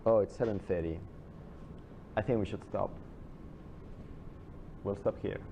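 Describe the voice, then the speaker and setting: A man giving an informal classroom lecture, chatty and digressive, with many halting restarts and asides. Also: fairly low pitch, vocal fry, mellow, slight background noise. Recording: dull lo-fi classroom recording.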